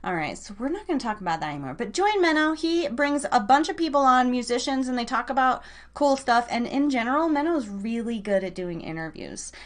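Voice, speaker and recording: A woman's voice: a little high, animated, a little fast, hardly any pauses. A woman hosting a live online radio show, chatting casually and playfully with listeners and a guest. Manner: chatty, playful, informal teasing.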